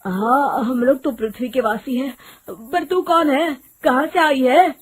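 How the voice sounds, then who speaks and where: A woman voicing frightened, excitable village men in a folk story, with plaintive exclamations. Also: high-pitched, measured pace, husky, pitched above the performer's own voice. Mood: wary.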